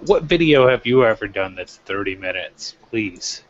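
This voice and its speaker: A man's voice: lowish voice, lively intonation, moderate pace, highs cut off. A man co-hosting a wrestling review show, giving his commentary in loose, casual chat.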